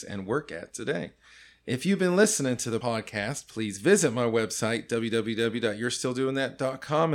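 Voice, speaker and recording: A man in his forties hosting a casual podcast, joking loosely and laughing often as he leads the talk. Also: medium pitch. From California. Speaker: podcast host.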